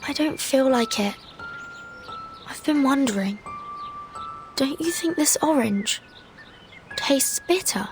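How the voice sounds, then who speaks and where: A woman playing a solemn, gentle young woman fighter in a video game, speaking in an earnest, hesitant way. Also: high voice, lively intonation, slow pace, long pauses, music in the background. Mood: subdued.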